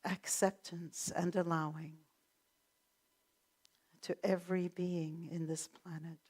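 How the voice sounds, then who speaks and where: A woman leads a prayer at a church service with calm, unhurried affirmations. Her voice is lowish and she speaks slowly. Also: slightly rough, rough recording. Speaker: prayer leader. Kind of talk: spoken prayer.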